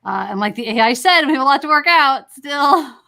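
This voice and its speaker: A woman's voice: sits high, expressive, fairly quick, vocal fry, bright, forceful tone. A woman hosting a show in a casual, conversational interview style. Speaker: show host.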